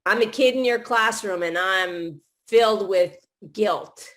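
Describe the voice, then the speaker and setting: A woman's voice: medium pitch, a little slow, a bit bright. A middle-aged woman hosting a live podcast, chatting casually in long run-on thoughts with many restarts. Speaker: podcast host.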